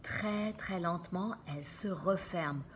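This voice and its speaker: A woman reading a children's storybook aloud like a teacher, expressive and dramatic, with explanatory asides to young listeners. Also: on the low side, slow pace, slight background noise.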